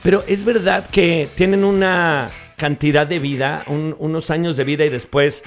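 A man hosts a relaxed conversation with a slightly high voice. He asks his questions eagerly and talks in a friendly, chatty way. Faint background music plays behind him. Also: normal speed. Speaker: host. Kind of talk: casual interview chat.

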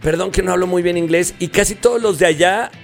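A man's voice: high-pitched, fast, soft background music. A man with a Mexican accent hosting a morning show, bantering and joking with his co-hosts. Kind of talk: casual chat.

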